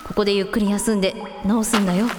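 A woman playing a brisk, protective member of an adventuring family in a radio drama. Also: medium pitch, little pitch movement, music in the background, close to an everyday voice. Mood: encouraging.

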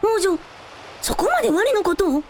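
A woman's voice: extremely high pitch, very animated, moderate pace, darkish tone, a little hiss or hum, heavily acted. A woman playing a young, earnest dragon prince in a radio drama, speaking in archaic lordly phrases with childlike openness. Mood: concerned, tender. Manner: old-fashioned lordly speech with childlike openness. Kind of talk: Japanese radio drama acting.

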